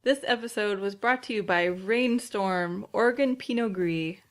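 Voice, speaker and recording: A woman's voice: mid-range voice, moderate pace, faint music behind. A woman co-hosting a podcast in casual, chatty conversation. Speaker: podcast co-host. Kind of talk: casual chat.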